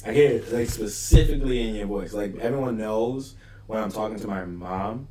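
A man's voice: lowish voice, normal speed, recorded from a distance. A man co-hosting a casual chat, joking and mock-indignant. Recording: echoey room with crowd noise behind.

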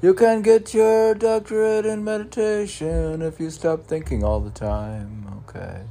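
A man's voice: on the high side, unhurried, vocal fry, mellow, soft background music. A middle-aged man reading his own poetry, declaiming the verse and muttering off-the-cuff asides to himself. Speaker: poet. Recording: faint background noise.

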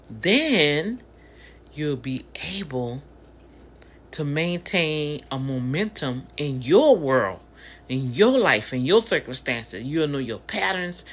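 A woman's voice: fairly low pitch, slightly rough. A woman in her fifties giving a casual motivational talk, conversational and preachy, speaking straight to her listeners. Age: 50s.

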